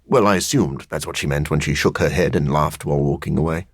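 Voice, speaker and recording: Brooding vampire antihero for a video game: low, husky, wry, formal diction, close dry recording. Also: male voice, fast, dry recording. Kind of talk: video game character voice acting.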